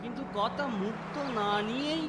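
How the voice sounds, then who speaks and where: A man's voice: extremely high pitch, a little fast, echoey room, long reverb tail, heavy background noise. A man playing a naive, talkative, middle-aged village servant in a cartoon, with a rural Bengali accent and a humble, folksy manner. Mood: naive, eager. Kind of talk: cartoon dialogue acting.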